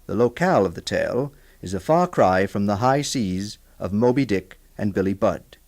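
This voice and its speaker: A man's voice: on the low side, animated, moderate pace, a little mellow. A man reading a formal scripted introduction as a radio host.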